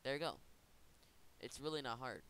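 A man hosting an informal software tutorial, talking in a casual, rambling way and correcting himself as he goes. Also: mid-range voice, lively intonation, normal speed. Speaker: tutorial host. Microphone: computer microphone. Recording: noticeable background hiss.

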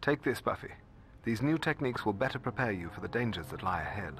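An actor playing a proper, cautious middle-aged scholar and mentor in a video game, speaking formally and earnestly. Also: male voice, on the low side, animated, fast, fairly bright tone, breathy edge, heavily acted. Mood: earnest, instructive.

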